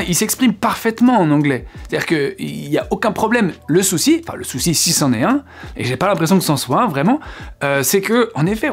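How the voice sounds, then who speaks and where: A man's voice: fairly high pitch, slightly rough. A man, a French speaker, hosting a casual video lesson. He talks chattily, fills gaps with hesitations and keeps asking the viewer questions. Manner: chatty, asks the viewer questions, many fillers. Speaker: English teacher, channel host.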